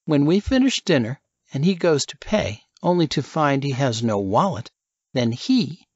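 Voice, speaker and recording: Man as young woman, coquettish, menacing, raised pitch, animated intonation, audiobook thriller character. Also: normal speed, no room sound, highs cut off. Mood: playful.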